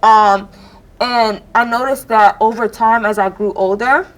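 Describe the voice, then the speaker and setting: Lively young woman from Jamaica. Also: a little slow, low-quality recording. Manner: animated storytelling, acts out voices with big pitch jumps. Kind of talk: casual panel discussion.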